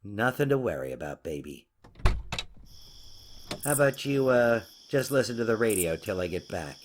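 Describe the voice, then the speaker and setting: A man's voice: fairly low pitch, expressive, moderate pace, heavy background noise, close to an everyday voice. An actor playing a young man in a car who casually brushes off his girlfriend's fears in a coaxing, dismissive way. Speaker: boyfriend in a parked car.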